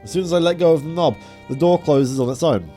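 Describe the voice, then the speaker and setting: A man's voice: fairly high pitch, expressive, fast talker, warm tone. A man reading a visual novel aloud on stream, breaking off into wry, joking banter. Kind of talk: live playthrough narration with casual banter. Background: music.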